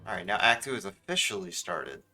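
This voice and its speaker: Bright-voiced wisecracking male streamer. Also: deep voice, very animated, moderate pace, faint music behind. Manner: wisecracking, mock-indignant. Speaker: streamer playing a video game. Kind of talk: casual joking game commentary.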